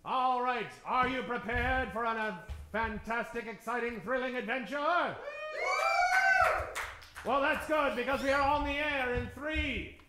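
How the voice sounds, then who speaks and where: A man's voice: extremely high pitch, measured pace, echoey room, background noise. A man hosting a live radio-theater show, announcing in a grandiose, theatrical old-time radio style. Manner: grandiose showman delivery. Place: live stage before an audience.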